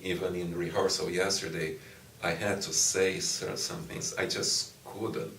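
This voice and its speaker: Slow, deep-voiced Brazilian man. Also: level tone, vocal fry, a bit bright, a little hiss or hum. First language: Portuguese. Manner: slow, thoughtful, with frequent "you know" and restarts. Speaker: university professor. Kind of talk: interview answers. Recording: echoey room, distant mic, faint ambience.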